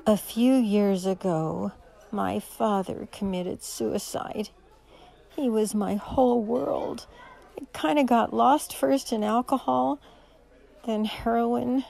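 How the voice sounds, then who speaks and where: A woman's voice: on the high side, slow, vocal fry, dark tone, exaggerated voice. A woman playing a guarded, blunt young homeless woman in a radio mystery drama. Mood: subdued. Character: wary, hardened young homeless woman, former addict.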